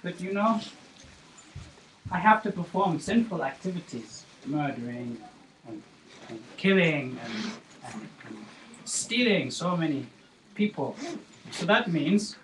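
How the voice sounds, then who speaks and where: A man performs a brash, self-justifying robber who talks slowly and sits high in his range. The voice comes from far off in an echoey, noisy room. He brags and laughs loudly, then pleads. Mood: boastful.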